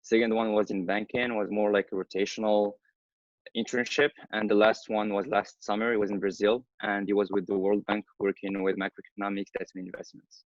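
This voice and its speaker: A young man from Morocco speaking as a guest on a radio show, modest and relaxed. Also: on the low side, fairly quick, no echo, lo-fi sound. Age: young adult. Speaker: guest, recent graduate. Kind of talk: casual chat.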